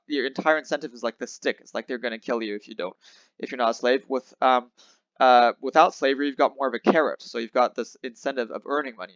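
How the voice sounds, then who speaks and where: A man's voice: medium pitch, fairly bright tone, no echo, dull audio. Casual man thinking aloud, dry sound. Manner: casual, thinking aloud, many fillers and restarts. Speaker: show host commenting on a clip. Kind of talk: reaction commentary.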